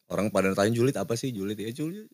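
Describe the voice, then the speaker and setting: Low-voiced young man hosting a casual chat. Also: racing, no room sound. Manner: relaxed, rambling, agreeable. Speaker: podcast host. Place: small decorated cafe studio, indoors. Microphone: desk mic with headphones.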